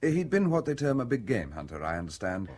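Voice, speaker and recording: A man playing a discreet, self-serving valet in a radio drama, speaking with formal, measured and guarded politeness. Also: medium pitch, very animated, darkish tone, breathy edge. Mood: deferential. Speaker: actor in a radio play. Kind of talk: British radio drama dialogue.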